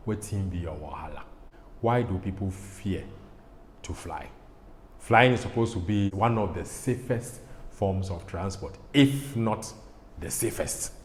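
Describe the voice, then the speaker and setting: Deep-voiced Ghanaian man in an echoey room. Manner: emphatic, repeats phrases. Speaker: pilot host. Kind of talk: explanatory educational presentation. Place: indoor studio. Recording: echoey hall-like studio, faint noise.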